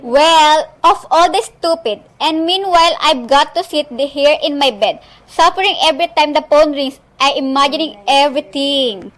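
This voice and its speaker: A woman's voice: high-pitched, normal speed, a bit bright, poor audio. A woman acting in an amateur suspense radio play as a nervous, irritable bedridden woman, complaining imperiously on the telephone. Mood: demanding, indignant.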